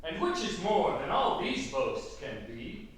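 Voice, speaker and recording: A man playing an ardent young lover, declaiming Shakespearean verse emphatically in drawn-out phrases at a staged reading. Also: fairly high pitch, huge pitch swings. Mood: defiant, indignant. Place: echoey room at a theatre camp reading. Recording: cavernous echo, distant mic, faint noise.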